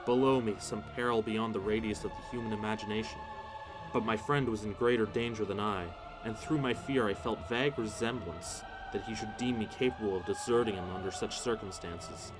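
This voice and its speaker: A man's voice: lowish voice, fairly even intonation, fairly quick. A man narrating a horror story in the first person, solemn and theatrical. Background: music.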